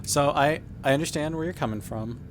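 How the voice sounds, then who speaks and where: A man playing a tabletop game, speaking in character in a casual, wry, deadpan way. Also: a little high, a little fast, a little hiss or hum. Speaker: player. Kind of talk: in-character roleplay chat.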